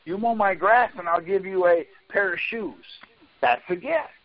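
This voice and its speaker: Man, high voice, insistent, argumentative explaining, repetitive, interrupts, dull conference call audio. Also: normal speed. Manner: insistent, interrupting, repeats himself. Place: group phone conference call.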